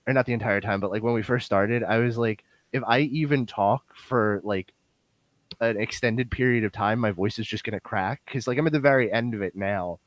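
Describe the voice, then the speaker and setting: A young man chats on a podcast in a hoarse, nasal, bassy voice that is close to wearing out. His pitch sits a little low and he rambles with many fillers. The sound is dry and close. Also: brisk, no room sound. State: hoarse, voice going. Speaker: podcast host. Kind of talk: casual podcast chat.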